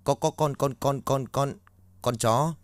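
A man's voice: mid-range voice, moderate pace, no echo, pitched above the performer's own voice, close to an everyday voice. A man voicing a frightened young man who stutters and repeats words. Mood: panicked. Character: terrified stammering young man.

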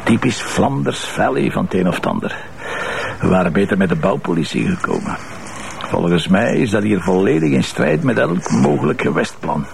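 A grumbling, sarcastic Flemish police commissioner in a crime drama. His voice is low and gravelly, and it moves up and down in lively fashion. Faint noise sits behind him. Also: moderate pace, heavily acted. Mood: sardonic, grumbling. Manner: sarcastic, colloquial. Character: sardonic, grumbling male police commissioner, middle-aged. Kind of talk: naturalistic TV crime drama acting.

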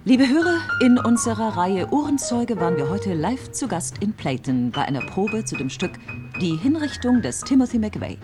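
A woman's voice: medium pitch, sing-song, normal speed, natural voice. An actress playing a poised female radio host, announcing in a polished, formal way over music in a radio drama. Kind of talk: broadcast presenter sign-off in a radio drama.

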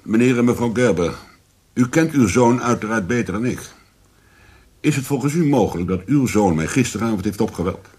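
An actor playing a courteous, methodical police commissioner in a Dutch radio play, asking questions politely and carefully. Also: male voice, fairly low pitch, animated, fairly quick, a little mellow, husky. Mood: politely probing.

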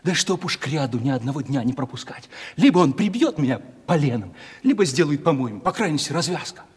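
Radio play lovesick provincial merchant's son, young male, folksy and browbeaten, rough-edged voice in a reverberant studio. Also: a little high, a little fast, heavily acted. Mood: brooding, teasing. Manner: folksy provincial merchant speech, animated storytelling. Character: lovelorn young merchant's son, browbeaten, gloomy yet boastful. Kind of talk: 1970s Russian radio play stage acting.

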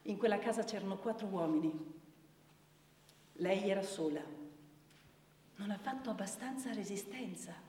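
A woman's voice: mid-range voice, normal speed, long pauses, dark tone, a little hiss or hum, lightly acted. An actress playing an impassioned, indignant woman lawyer in a radio play, speaking in an emphatic, rhetorical style with pointed repetitions. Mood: grave, pleading. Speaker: stage actor. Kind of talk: theatrical courtroom oration and dramatic recitation. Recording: echoey hall, distant microphone.

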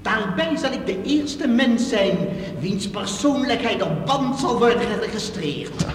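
An actor playing a crazed, megalomaniac would-be ruler in a radio drama, grandiose, commanding and panicky. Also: male voice, extremely high pitch, lively intonation, a little slow. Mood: grandiose, menacing. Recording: echoey reverberant hall, some noise.

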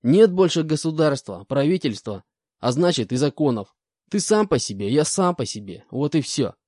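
A man's voice: on the high side, animated, moderate pace, a little mellow, dry recording, clean studio sound, pitched above the performer's own voice. A man voicing an insolent grey-haired male convict with gold teeth, talking in brash slang. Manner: brash street slang, cocky.

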